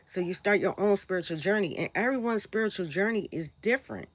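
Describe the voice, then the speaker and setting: A woman from Brooklyn with a New York accent, hoarse and raspy from a sore throat, talking loosely on a live stream and often asking "right?". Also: mid-range voice, animated, fast talker, dry recording. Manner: rambling, loose, frequent "right?" and "like". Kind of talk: casual live-stream talk answering chat.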